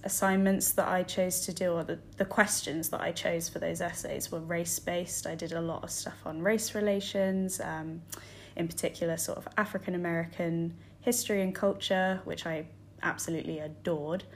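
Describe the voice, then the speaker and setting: A woman in her twenties co-hosting a podcast, relaxed and friendly, laughing often as she talks. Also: fairly low pitch, moderate pace, few pauses, slight background noise. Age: 20s. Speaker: podcast co-host. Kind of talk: casual chat.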